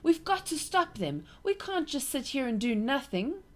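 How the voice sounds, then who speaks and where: A woman voicing an eager, anxious young girl in a high voice. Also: big pitch swings, moderate pace.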